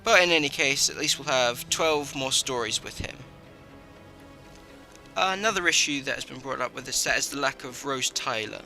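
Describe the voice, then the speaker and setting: A man's voice: mid-range voice, moderate pace, vocal fry, sharp tone. A man giving a scripted review as voice-over narration. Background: faint music.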